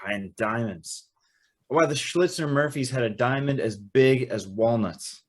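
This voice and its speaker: A man's voice: mid-range voice, measured pace, natural voice. A man playing an eager, naive young prep school student with a folksy, chatty manner in an old-time radio drama. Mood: impressed, eager. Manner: folksy, chatty, hesitant narrating and exclaiming.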